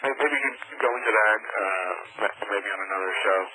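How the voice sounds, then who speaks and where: A man's voice: on the low side, a little fast. A man calling in to a radio show, chatting casually in a hesitant, stammering way. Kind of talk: casual phone-in chat. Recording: noisy phone call on radio.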